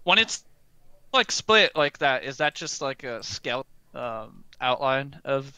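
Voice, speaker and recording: A man's voice: medium pitch, big pitch swings, slow, sharp tone, clear, resonant tone, no room sound. A man chatting casually as a podcast panelist, circling his way hesitantly through his phrases. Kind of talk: casual chat.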